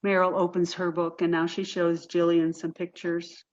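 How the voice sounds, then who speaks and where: A woman's voice: fairly low pitch, little pitch movement, moderate pace, muffled recording, slight background noise. A woman reading stage directions as scripted narration for a play.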